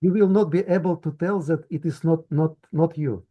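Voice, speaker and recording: A man speaking as a tech founder guest in an interview, halting with simple phrasing and pauses. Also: on the high side, highs cut off. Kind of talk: interview answers.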